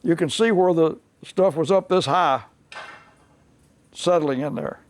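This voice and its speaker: A man's voice: fairly high pitch, unhurried. An older man with a Southern US accent, a mechanic explaining a restoration job in a plainspoken, folksy way. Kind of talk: unscripted workshop explanation. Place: workshop.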